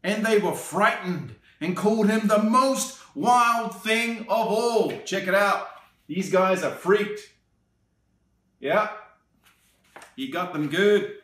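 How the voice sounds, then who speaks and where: A man reads a children's picture book aloud at an unhurried pace, his voice sitting fairly high and full of play. He stops for cheerful asides and small laughs between pages. The sound is roomy and a bit distant, picked up by a camera a few feet away. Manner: slow and expressive, with playful asides and laughs. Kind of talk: children's storytime read-aloud. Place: indoor room, seated in an armchair. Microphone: camera on a tripod a few feet away.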